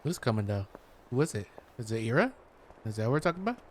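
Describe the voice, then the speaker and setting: Quick-talking male streamer reacting casually. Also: medium pitch, sing-song, a little hiss or hum.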